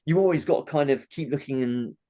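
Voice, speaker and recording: A man's voice: mid-range voice, a little fast, mellow, dull audio. Nasal-voiced man, chatty comedian. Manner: chatty, laughs often, acts out audience reactions. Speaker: comedian guest. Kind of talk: casual interview chat.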